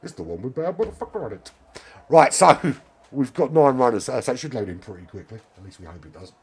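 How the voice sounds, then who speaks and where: A middle-aged British man with a hoarse voice who is losing it, commentating a live sim race in a chatty, rambling way with casual swearing. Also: mid-range voice, sing-song, quick pace.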